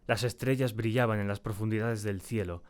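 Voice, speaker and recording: A man acting a tormented, terrified diarist in a dramatic first-person audiobook narration, with a solemn and deliberate, theatrical delivery. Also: on the low side, steady tone, a little mellow, lightly acted. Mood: troubled, reflective. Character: tormented, terrified man confiding in his diary.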